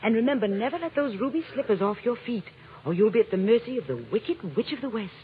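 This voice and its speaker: A woman's voice: fairly high pitch, animated, fast talker, slight background noise, natural voice. An actress playing a kindly, authoritative good witch in a vintage radio drama, theatrical and assured. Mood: instructing. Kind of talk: 1930s-50s radio acting. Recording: thin, narrow vintage radio broadcast.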